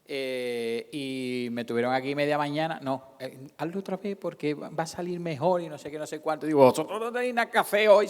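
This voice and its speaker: A man presents informally, pausing and searching for his words. The sound is echoey, with the long reverb of a hall. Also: on the high side. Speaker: radio drama actor and presenter. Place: large indoor hall at a public event.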